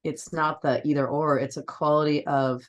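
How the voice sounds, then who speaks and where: A hoarse participant on a group call, losing their voice after a cough, speaking earnestly and clearing their throat often. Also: mid-range voice, little pitch movement, normal speed.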